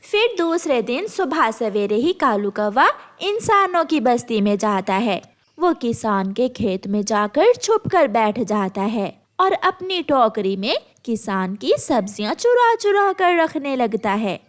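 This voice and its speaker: A woman's voice: on the high side, big pitch swings, slow pace. A woman storyteller reading scripted narration for a children's cartoon tale.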